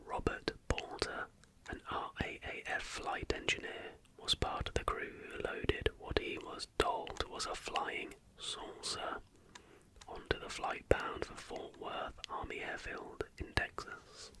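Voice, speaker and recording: The voice is a breathy whisper with no voiced tone at all. It reads scripted narration in ASMR style, moving through the text in short, measured phrases. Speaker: ASMR video host.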